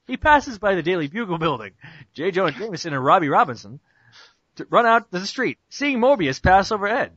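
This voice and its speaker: Casting: male amateur narrator, high lively voice, mock-dramatic comic reading, muffled internet call sound. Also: no echo. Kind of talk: comic dramatic script reading among friends. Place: Skype group call.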